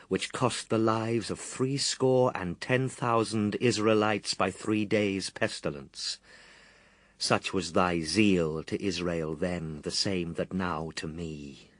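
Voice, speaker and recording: A man playing Jesus as a calm, steadfast Saviour, deliberately rebuking temptation in solemn radio drama verse. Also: on the low side, no room sound. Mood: stern rebuke. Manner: deliberate, stern, dismissive of temptation.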